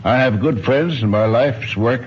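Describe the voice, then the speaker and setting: A man's voice: mid-range voice, unhurried, breathy edge. A man in his nineties giving formal, precise answers as an expert guest in a radio interview, with old-fashioned phrasing. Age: 90s. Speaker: interview guest, archaeologist and ethnologist. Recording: muffled, noisy 1950s radio broadcast recording.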